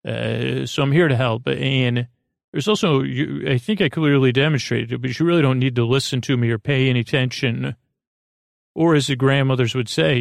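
A man hosting a sleep podcast in a gentle, reassuring, hesitant way, rambling through digressions and half-restarted sentences. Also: mid-range voice, gravelly, no room sound, studio quality. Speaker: host of a bedtime sleep podcast. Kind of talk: rambling, meandering monologue to lull listeners to sleep.

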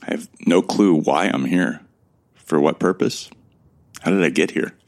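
A man plays a lost amnesiac from Earth narrating a sci-fi audio drama. His voice is extremely deep with a heavy creak. He is measured and reflective, with a bewildered, earnest streak. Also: darkish tone.

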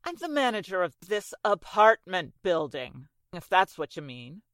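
Radio-drama building manager female actor: proper, fussy, courteous, with animated intonation. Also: fairly low pitch, moderate pace. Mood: firm, reluctant. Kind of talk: 1940s radio drama acting.

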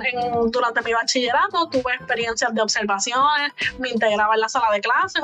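A young Puerto Rican woman, a former preschool teacher, co-hosting a podcast with enthusiastic, rambling storytelling. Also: sits high. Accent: Puerto Rican Spanish. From Puerto Rico. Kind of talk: casual podcast chat.

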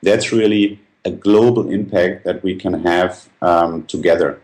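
A man's voice: low voice, measured pace, darkish tone. A man giving explanatory interview answers, earnest and feeling his way through his phrasing. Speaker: company founder being interviewed.

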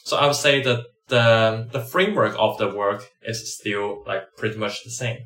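A man explaining his sound work in an informal interview, haltingly, peppered with filler words. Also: on the low side, a bit bright. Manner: halting, frequent "like". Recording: echoey room.

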